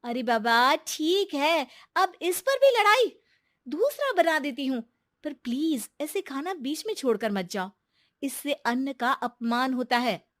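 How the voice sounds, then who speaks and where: A woman voicing a caring, peacemaking young wife in a cartoon moral story, gently moralizing. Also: sits high, big pitch swings, unhurried, dry recording, studio quality, pitched above the performer's own voice, exaggerated voice. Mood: exasperated, pleading.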